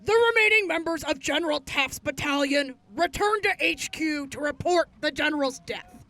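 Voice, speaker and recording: Woman playing a bright, high-voiced friendly soldier. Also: expressive, normal speed. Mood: matter-of-fact briefing. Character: friendly female soldier briefing old friends after long absence. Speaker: voice actor. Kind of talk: post-apocalyptic audio drama acting.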